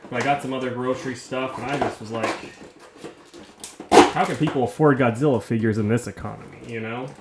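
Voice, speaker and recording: A man with a gravelly voice chatting casually and rambling in a self-mocking way while unboxing a toy at home. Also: mid-range voice, a little fast, very noisy. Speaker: vlogger unboxing toys.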